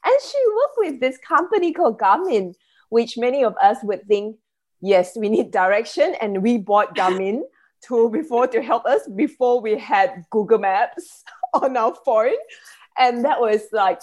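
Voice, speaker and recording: A woman's voice: a little high, lively intonation, normal speed, darkish tone. A woman hosting a business podcast, speaking in an enthusiastic, effusive manner as she presents and chats. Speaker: podcast host, business coach. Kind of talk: podcast hosting, introducing a guest.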